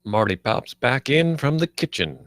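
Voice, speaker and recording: A man's voice: mid-range voice, very animated, normal speed, a bit bright, dry recording, clean studio sound. A man reading scripted stage directions as the narrator of a live screenplay table read.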